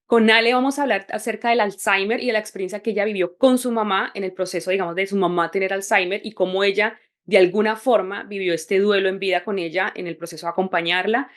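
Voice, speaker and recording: Woman, podcast host, quick pace, upbeat, encouraging. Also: mid-range voice, steady tone. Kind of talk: friendly podcast hosting.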